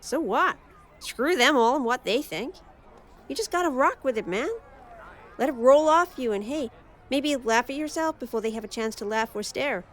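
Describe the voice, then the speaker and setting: A woman's voice: sits high, lively intonation, moderate pace, slight background noise. A woman playing a bold, carefree outsider girl in an audio drama, speaking in a blunt, confident, casual way. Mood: defiant.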